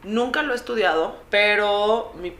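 A woman's voice: medium pitch, normal speed, a bit bright. A woman with a Mexican accent co-hosting a casual comedic chat, playfully switching between languages and accents. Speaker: co-host. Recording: echoey room with faint noise.

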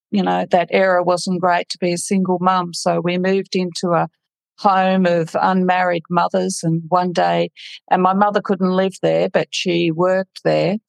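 A middle-aged woman from the Netherlands, a podcast guest, telling her life story in a frank, relaxed way and laughing at it. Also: on the low side, gravelly, dry recording. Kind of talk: casual interview storytelling.

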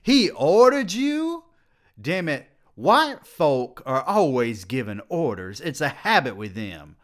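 A man voicing an older male college president who mocks and then shouts in outrage. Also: medium pitch, big pitch swings, unhurried. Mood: furious.

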